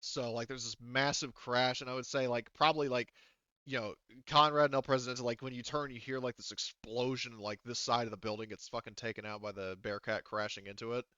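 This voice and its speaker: Bright-voiced man running a tabletop game. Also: medium pitch, fairly even intonation, fairly quick, clear, resonant tone, no room sound, dull audio. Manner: informal, casually profane. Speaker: game master. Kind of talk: narrating a tabletop roleplaying game, casual.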